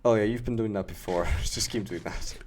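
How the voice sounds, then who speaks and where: A man's voice: lowish voice, brisk, slight background noise. A young man with a German accent chatting casually and joking as he streams a game. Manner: relaxed, chatty, laughing and joking with a co-player. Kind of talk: casual gaming chat.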